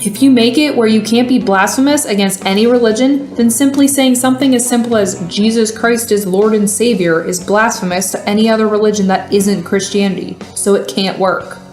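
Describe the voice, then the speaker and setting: A woman's voice: mid-range voice, fairly quick, few pauses, a little mellow, close to an everyday voice. A woman playing a sensible, exasperated TV news producer with a dry, sarcastic manner in an audio comedy. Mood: dry, skeptical. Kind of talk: satirical audio comedy acting. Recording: roomy sound with faint music.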